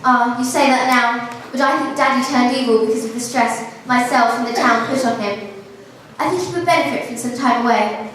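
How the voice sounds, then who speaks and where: A woman's voice: on the high side, normal speed, fairly bright tone, lightly acted. A woman playing a genteel, chatty queen and doting mother in a live pantomime, theatrical and confiding. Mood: confiding, gossipy. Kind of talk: live amateur pantomime acting. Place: large school hall stage. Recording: distant echoey school hall, faint noise.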